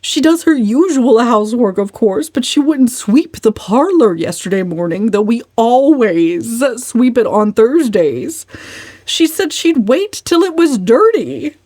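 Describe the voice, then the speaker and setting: High, whimpering, tearful older mother. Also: big pitch swings, unhurried, mellow, no room sound, clean studio sound. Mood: tearful complaint. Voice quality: sobbing, whiny.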